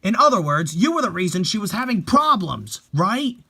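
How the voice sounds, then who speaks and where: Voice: mid-range voice, sing-song, moderate pace, pushed and bright, breathy edge, pitched above the performer's own voice, exaggerated voice. A performer voicing an angry young fan club member, aggressive and accusing, in a horror manga reading. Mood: furious, accusing.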